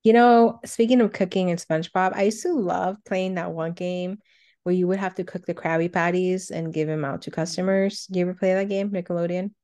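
A woman with a slightly low voice co-hosts a casual countdown show. She reads out each entry plainly, then drifts into conversational reminiscing. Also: steady tone, fairly quick, dark tone. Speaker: co-host of a cartoon countdown show. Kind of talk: casual chat, reading out countdown entries.

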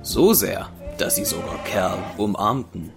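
A man's voice: sits low, very animated, unhurried, creaky. A man narrating an audio drama as a scripted storyteller. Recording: music behind the voice.

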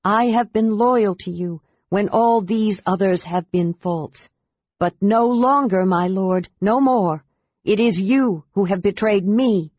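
A woman's voice: medium pitch, slow, a little mellow, no room sound, lo-fi sound. A woman voicing a fierce, defiant warrior woman on trial in a fantasy audiobook. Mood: controlled defiance. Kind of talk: audiobook character voice.

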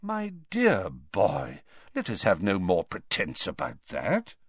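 A man voicing an old, weakened headmaster wizard who speaks lightly and conversationally, sometimes mumbling softly. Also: medium pitch, big pitch swings, measured pace, dry recording, pitched above the performer's own voice. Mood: gentle, pleading. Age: older.

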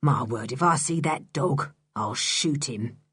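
A woman voicing a gruff, scornful middle-aged man with a hoarse voice in a storybook reading. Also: a bass voice, unhurried, fairly bright tone, no echo, pitched below the performer's own voice, heavily acted. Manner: scornful, mocking.

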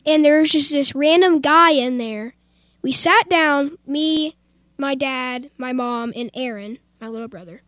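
A woman's voice: sits high, no room sound. A teenage girl on youth radio casually telling a personal story in loose, youthful phrasing full of fillers.

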